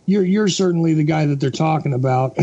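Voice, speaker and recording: A man hosting a podcast interview, asking steady, probing questions. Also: fairly high pitch, fairly quick, dull audio.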